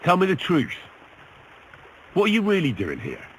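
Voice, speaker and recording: An actor playing a young drifter looking for work, pushy and sarcastic. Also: male voice, sits high, huge pitch swings, moderate pace, slight background noise, low-quality recording. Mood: probing.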